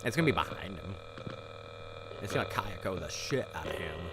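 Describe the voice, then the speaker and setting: A man's voice: low-pitched, expressive, fairly quick, very noisy. A man hosting a livestream, giving casual, rambling reaction commentary in a blunt, sarcastic, sweary manner. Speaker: livestream host.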